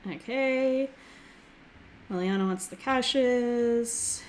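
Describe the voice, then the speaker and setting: Young woman still a bit hoarse from a sore throat, reading game text fast and chatting with lots of ums, faint hiss in the background. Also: a little high. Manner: reads game text aloud quickly, filler-heavy. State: recovering from throat infection, slightly hoarse. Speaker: let's play host. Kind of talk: casual gameplay commentary.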